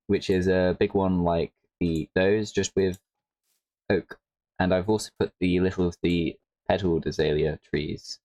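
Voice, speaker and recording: A man giving casual, rambling commentary over his gameplay, his voice a little hoarse. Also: low voice, a little mellow, no echo, rough recording. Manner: rambling, self-correcting, with frequent "like". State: slightly hoarse. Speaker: Minecraft creator.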